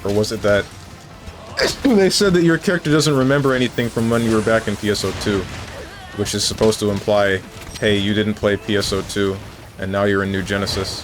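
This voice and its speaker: A man streaming a video game, chatting casually with his viewers and joking around, with bursts of mock excitement. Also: fairly low pitch, fairly quick. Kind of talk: casual live-stream chat while gaming. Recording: game music playing underneath.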